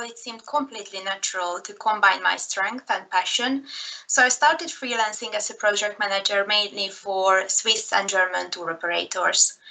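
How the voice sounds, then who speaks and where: Bright-voiced Hungarian woman presenting slides. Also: mid-range voice, low-quality recording. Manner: careful, with frequent "uh" fillers.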